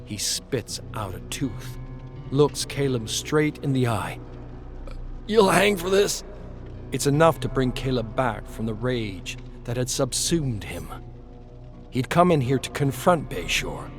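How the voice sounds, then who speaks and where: A man's voice: medium pitch, expressive, unhurried. A man narrating a scripted game story in a dramatic storytelling style. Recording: music under the voice.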